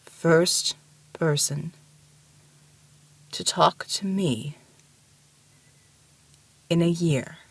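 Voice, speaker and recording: A woman voicing a giant living mountain, male and placid, in a terse, slow, halting rumble for an audiobook story. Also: husky, exaggerated voice. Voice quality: deep, rumbling.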